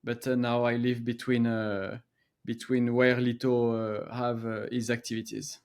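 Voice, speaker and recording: A man's voice: medium pitch, flat delivery, smooth. A middle-aged man from France with a French accent, a company co-founder answering podcast questions with frequent fillers and restarts. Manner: halting, many filler pauses and restarts. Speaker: co-founder and gallery guest. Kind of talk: podcast interview.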